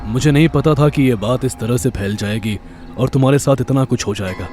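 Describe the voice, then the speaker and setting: A man's voice: mid-range voice, brisk, a little mellow, faint music behind, natural voice. A man playing a stern, guarded but secretly caring young man in an audio drama, speaking in a restrained, matter-of-fact way. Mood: hesitant, apologetic. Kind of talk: Hindi audio horror drama acting.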